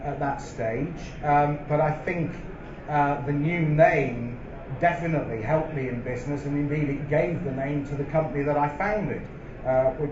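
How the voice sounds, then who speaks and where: A middle-aged man, telling stories in a live on-stage interview, measured and full of ums and restarts. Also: fairly high pitch. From England. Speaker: interviewee, company founder. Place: event hall with a live audience. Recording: roomy, dull live hall recording with noise.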